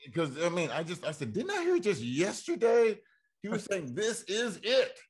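A man hosting a casual interview, speaking hesitantly with frequent pauses and restarts as he works toward his questions. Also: high voice, big pitch swings, slightly rough. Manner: hesitant, halting, many fillers and restarts. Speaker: interview host.

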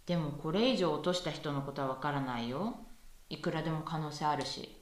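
A woman's voice: low voice, brisk, lightly acted. A woman playing a playful, pushy young female university student in a conversational radio drama. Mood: musing, teasing. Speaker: voice actress in amateur radio drama. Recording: echoey room, far from mic.

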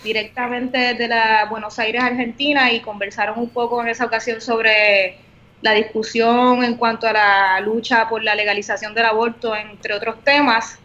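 A woman's voice: a little high, level tone, normal speed, bright, forceful tone, muffled recording. A woman with a Puerto Rican accent hosting a casual interview podcast over a video call, friendly, with frequent "eh" fillers. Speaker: podcast host.

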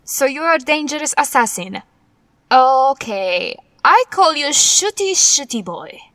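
A woman's voice: sits high, very animated, a little slow, fairly bright tone, no echo, full character voice. A woman playing a brash, bossy superheroine in a comedic audio drama, blunt and dismissive, speaking in foreign-sounding broken English. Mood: skeptical. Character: brash, bossy female cat-themed superhero with tragic backstory.

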